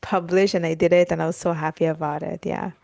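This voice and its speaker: A woman's voice: low-pitched, little pitch movement, fast talker, gravelly, darkish tone, no room sound. A woman in her thirties from Italy, chatting as a podcast guest with lots of fillers like "like" and "you know.". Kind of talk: casual conversational interview.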